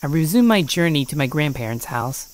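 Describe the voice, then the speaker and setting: A woman's voice: low voice, expressive, brisk. A woman giving a scripted first-person horror story narration.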